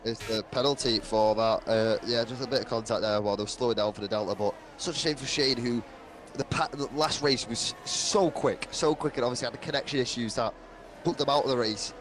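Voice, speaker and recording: A man giving colour commentary on a sim race, explaining calmly while his throat is dry. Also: mid-range voice, slight background noise. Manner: analytical, measured explanations. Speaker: co-commentator and driver.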